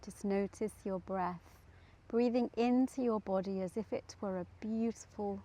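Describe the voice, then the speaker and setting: A woman leading a guided meditation in a gentle, soothing manner, leaving long pauses between short, deliberate phrases. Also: mid-range voice.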